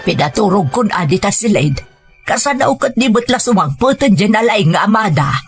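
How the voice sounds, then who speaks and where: Woman acting a husky, scolding older mother. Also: mid-range voice, very animated, fairly quick, soft background music. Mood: worried, exasperated. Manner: reproachful, lecturing. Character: scolding older mother, weary of her lazy son. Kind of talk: Ilocano audio drama acting.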